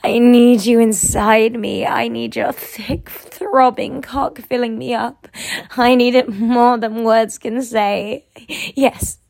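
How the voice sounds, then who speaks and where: A woman's voice: a little high, steady tone, slow, vocal fry, darkish tone. A young woman acting in an adult audio roleplay, playing a submissive character who pleads and often drops into a whisper. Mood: begging.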